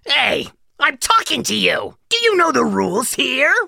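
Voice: sits high, wildly swooping pitch, measured pace, gravelly, pushed and bright, dry recording, studio quality, pitched above the performer's own voice, heavily acted. A storyteller voicing a bossy, exasperated big rat in a children's tale, barking demands. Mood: annoyed, demanding.

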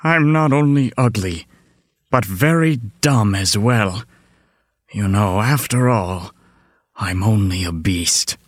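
Storybook beast, acted by a performer: gentle, lonely, slow and halting, mid-pitched, close dry sound. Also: no echo. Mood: self-doubting. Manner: halting, hesitant, pleading. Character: lonely, gentle, self-doubting male beast in love. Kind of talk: children's fairy tale audio drama.

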